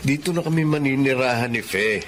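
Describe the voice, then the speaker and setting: A man's voice: medium pitch, brisk, noisy background, lightly acted. An actor playing a caring young father in a radio drama, speaking earnestly and politely. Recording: music underneath.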